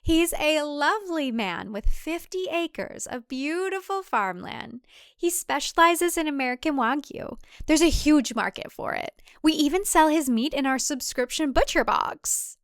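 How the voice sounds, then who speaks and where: A woman voicing a brainwashed, robotically cheerful tradwife in a cult thriller audiobook, with a perky over-bright delivery. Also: high-pitched, animated, measured pace, dry recording, clean studio sound, pitched above the performer's own voice. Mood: glazed, reciting. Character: brainwashed chipper young tradwife cult member, eerily cheerful.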